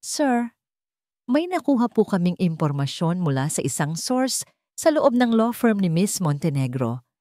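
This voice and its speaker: A woman's voice: lowish voice, sing-song, measured pace, mellow, no room sound, clean studio sound. A woman voicing a respectful male assistant who speaks deferentially and pleads. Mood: pleading.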